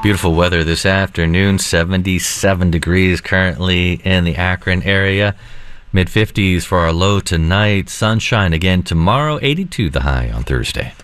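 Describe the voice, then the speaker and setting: A man giving a local radio weather report in a relaxed, conversational read. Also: sits low, moderate pace, soft background music. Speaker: weather announcer.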